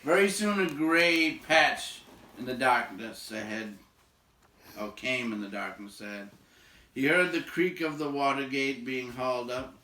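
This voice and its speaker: A man reading a storybook aloud as narrator, with expressive, measured phrasing. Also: mid-range voice, slight background noise. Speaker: audiobook-style reader. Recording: echoey room.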